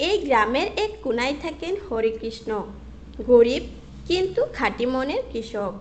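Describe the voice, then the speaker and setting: Lady reading a cartoon fairy tale, high voice, very animated storytelling, slight background noise. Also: a little slow. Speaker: narrator. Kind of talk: scripted fairy tale narration.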